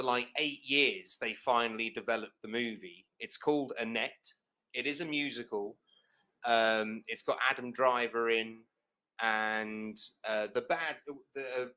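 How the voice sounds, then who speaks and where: A young man hosting a relaxed movie chat, his voice bright and forward, with pitch sitting in an ordinary male middle. He rambles with enthusiasm, circling back with fillers and quick restarts as he explains what he loves. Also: rough recording. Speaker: podcast host. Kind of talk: casual chat.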